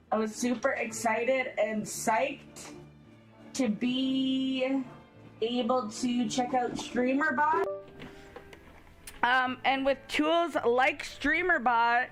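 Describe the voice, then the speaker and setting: A woman's voice: sits high, low-quality recording. A woman hosting a casual game livestream, chatting informally and speaking straight to her viewers. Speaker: game streamer, host. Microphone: headset.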